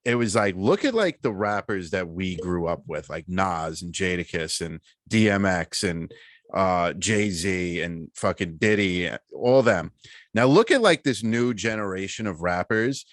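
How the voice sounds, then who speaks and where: Low-voiced man in casual podcast chat. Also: a little slow, no echo, studio quality. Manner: animated storytelling with slang and swearing. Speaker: podcast co-host.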